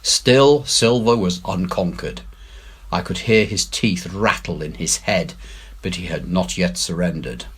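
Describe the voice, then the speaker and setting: A man giving a dramatic, measured, expressive reading of an adventure novel. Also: sits low, slightly rough. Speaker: story reader, head teacher.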